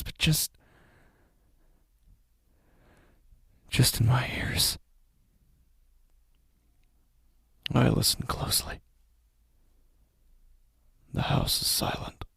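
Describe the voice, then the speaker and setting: An actor playing an anguished, exhausted father in a first-person horror audio drama, speaking in halting, breathy phrases that often sink toward a whisper. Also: fairly high pitch, a little mellow, dry recording, studio quality, heavily acted. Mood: panicked. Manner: halting, broken phrases with long pauses. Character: anguished, exhausted, devoted father haunted by dread.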